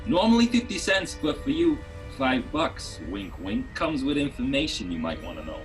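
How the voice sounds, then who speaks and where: A man's voice: medium pitch, big pitch swings, normal speed, lightly acted. A man playing a pushy, shifty, wisecracking street hot dog vendor in a comedy radio play. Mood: hawking, wary. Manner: wisecracking, slangy. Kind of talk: radio drama comedy acting. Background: music.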